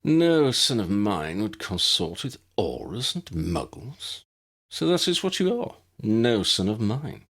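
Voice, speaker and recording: A man's voice: fairly low pitch, sing-song, a bit bright, voice pushed up, heavily acted. Man voicing a cold, sneering aristocratic villain. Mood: cold, disdainful. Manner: disdainful, smug, drawn-out delivery.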